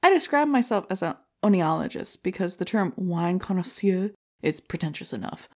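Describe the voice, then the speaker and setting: A woman's voice: on the low side, very animated, moderate pace, darkish tone. An actress playing a brash, self-deprecating female stand-up comic, delivering jokey rhetorical setups and punchlines in an audio drama. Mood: wry, playful. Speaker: comedy contest contestant.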